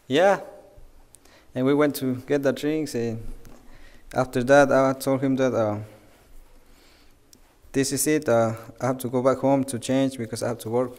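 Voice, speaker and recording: A man's voice: medium pitch, a little mellow. A young man from Guatemala, speaking English as his third language, telling a personal testimony hesitantly at a church pulpit. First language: not English, third language. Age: young adult. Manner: hesitant, with fillers and non-native grammar. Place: church sanctuary, at a wooden pulpit. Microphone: pulpit mic. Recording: long reverb of a church hall.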